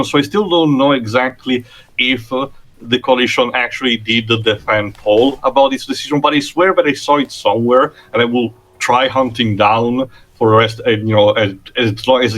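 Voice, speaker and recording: A man's voice: medium pitch, a bit bright, poor audio. A man co-hosting a game let's play, giving background on the game in a rambling way with many fillers. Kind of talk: casual gaming commentary.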